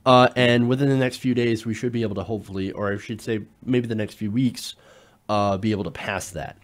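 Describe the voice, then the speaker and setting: A man's voice: on the low side, fairly even intonation, fairly quick, slight background noise. A man hosting a casual political talk show, sounding nasal while getting over a cold, chatty and loose, with many filler sounds. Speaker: show host.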